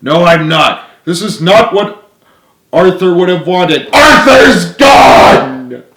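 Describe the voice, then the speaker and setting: A man's voice: sits high, lively intonation, slow, heavy background noise, voice pushed up, heavily acted. A man voicing a bitter, wounded, self-loathing male superhero in a storybook reading, with anguished emotion. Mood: anguished, loud. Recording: roomy, echoey home recording.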